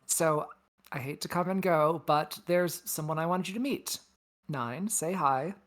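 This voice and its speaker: A woman plays a space probe who acts like an older sister. The voice sits low for a woman. The delivery is casual, teasing and sardonic, in the style of a science fiction table read. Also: unhurried. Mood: breezy, friendly. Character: wry, breezy big-sister space probe, female.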